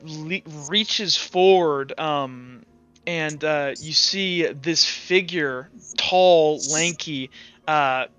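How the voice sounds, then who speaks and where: A man narrating a tabletop role-playing game as game master, improvising in a casual, halting way. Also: fairly high pitch, fairly bright tone, clean tone, highs cut off. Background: faint music.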